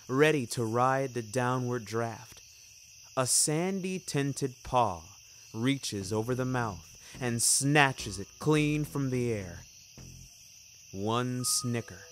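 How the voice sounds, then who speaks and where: A man's voice: mid-range voice, a little hiss or hum. A man narrating scripted fiction in a wordy, wry and theatrical way, taking his time over phrases. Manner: wordy, wry, deliberate storytelling. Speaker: narrator of an audio fiction series. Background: music.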